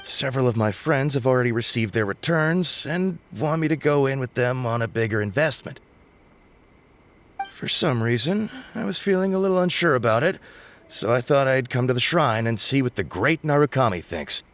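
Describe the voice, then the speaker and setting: A man's voice: mid-range voice, expressive, normal speed, close to an everyday voice. A man playing an earnest, devout and uncertain shrine visitor in a video game, musing politely and hesitantly. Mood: unsure.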